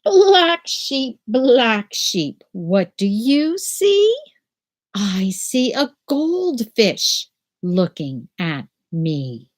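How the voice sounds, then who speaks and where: Older woman, teacher, slow and unhurried, lively rising and falling voice, webcam in a small room. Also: fairly high pitch. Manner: slow, gentle, sing-song storybook reading. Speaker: teacher reading aloud to children. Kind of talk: children's read-aloud lesson. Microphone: laptop webcam video call.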